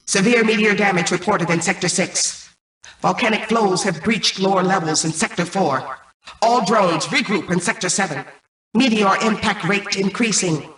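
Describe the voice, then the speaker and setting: A woman voicing a cold, arrogant mainframe intelligence in a video game, speaking in a formal, measured, commanding way. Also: medium pitch, fairly bright tone, close to an everyday voice, poor audio. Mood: formal, detached. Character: cold, arrogant female mainframe artificial intelligence, calculating and imperious. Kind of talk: scripted video game voice acting.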